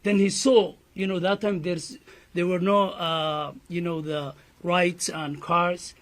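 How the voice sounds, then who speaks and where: A man's voice: high-pitched, flat delivery, slow pace, fairly bright tone. A man from Somalia with a Somali accent, an imam giving an earnest press conference statement full of "you know" fillers.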